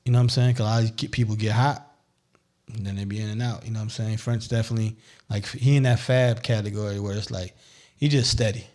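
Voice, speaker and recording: A man's voice: fairly low pitch, fairly even intonation, quick pace, darkish tone. A man hosting a podcast in casual, slangy chat, often repeating his phrases and checking that he is understood. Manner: slangy, repetitive, leaning on "you know what I'm saying". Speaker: podcast host.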